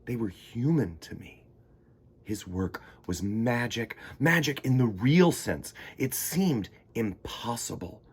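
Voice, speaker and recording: A man's voice: mid-range voice, lively intonation, takes it slowly, husky. A man reading a children's picture book aloud expressively, with dramatic delivery and conversational asides. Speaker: storytime host reading aloud.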